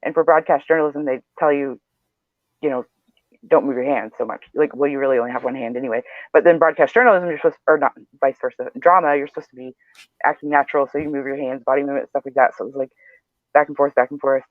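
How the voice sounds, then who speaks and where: A man's voice: mid-range voice, steady tone, fast talker, no echo. Chatty nasal man on a tinny call. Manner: rambling, chatty, self-deprecating. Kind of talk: casual video chat. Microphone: video call. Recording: tinny phone-like video call, dry.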